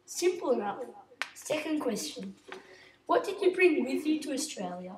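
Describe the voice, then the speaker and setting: A woman playing a female TV interviewer who reads out scripted questions briskly, in a school project role-play interview. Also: high voice, sing-song, echoey room, rough recording. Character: female TV interviewer hosting a show.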